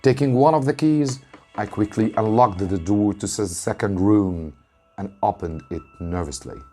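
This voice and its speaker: A man from Egypt, an English teacher, reading a story aloud with deliberate, dramatic emphasis. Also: lowish voice, dark tone, faint music behind.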